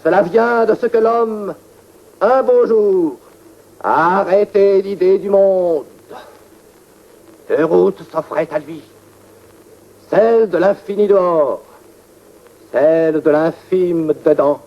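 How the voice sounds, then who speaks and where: A performer playing an intense poetic declaimer in a 1940s French radio work, theatrical, deliberate and incantatory. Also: medium pitch. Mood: scornful, declamatory. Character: intense poetic declaimer railing at mankind's small idea of the world. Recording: dull 1940s archive radio recording.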